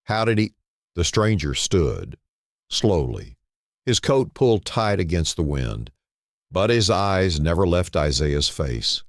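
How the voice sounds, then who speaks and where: A man narrating a dramatic story in a solemn, deliberate way, in short phrases with dramatic pauses. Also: deep voice, very animated, no room sound, clean studio sound.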